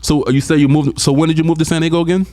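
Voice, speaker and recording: A man's voice: fairly high pitch, flat delivery, very fast, a little mellow, no room sound. A man hosting a casual interview, reacting with eager exclamations. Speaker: interview host.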